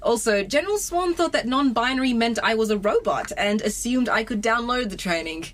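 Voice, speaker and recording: A woman's voice: on the high side, animated, a little fast, natural voice. A woman playing an eager, awkward nineteen-year-old nonbinary engineering prodigy in a sci-fi comedy audio drama, earnest and nerdy. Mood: nervous, chatty. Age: young adult.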